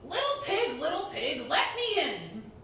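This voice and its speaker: A woman voicing a menacing, hungry male wolf in a storybook, with loud, drawn-out, singsong lines. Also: high-pitched, huge pitch swings, heavily acted. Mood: coaxing. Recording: echoey room, distant mic, background noise.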